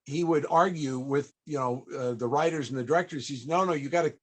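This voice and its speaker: A man with a slightly high voice interviews a guest on a panel. He talks conversationally and encouragingly, often doubling his phrases for emphasis. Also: fairly quick, fairly bright tone.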